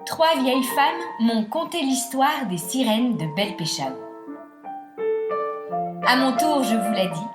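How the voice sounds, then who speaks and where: A woman narrating a scripted children's tale as a storyteller, with theatrical, deliberate phrasing. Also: on the high side. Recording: roomy echo, music behind.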